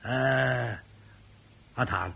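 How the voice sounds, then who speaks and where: A nagging older village uncle in a radio play, timid beneath the chiding. The voice is husky and mid-pitched, full of sighs, with a Hong Kong Cantonese accent. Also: male voice, extremely slow, bright, forceful tone, heavily acted. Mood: nagging concern. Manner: sighing, chiding, stammering exclamations. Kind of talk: Cantonese radio drama acting.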